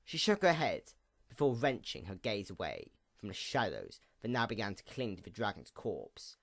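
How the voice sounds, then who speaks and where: A man reading a fantasy story as a narrator, deliberate and dramatic, with pauses for effect. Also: fairly low pitch, wildly swooping pitch, vocal fry, a bit bright.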